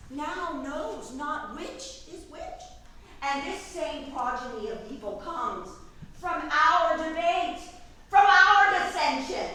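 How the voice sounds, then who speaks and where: A proud, defiant fairy queen, acted by a woman, declaims verse slowly and high. The voice sits far from the microphone in a very echoey room. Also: bright, forceful tone, slight background noise, full character voice. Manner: declamatory, measured. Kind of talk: staged reading of Shakespearean verse.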